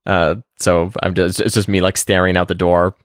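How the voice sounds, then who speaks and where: A man's voice: low voice, flat delivery, normal speed, dry recording, studio quality. A man co-hosting a podcast, talking casually and rambling with lots of fillers. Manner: rambling, with many fillers and restarts. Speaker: podcast co-host. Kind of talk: casual chat.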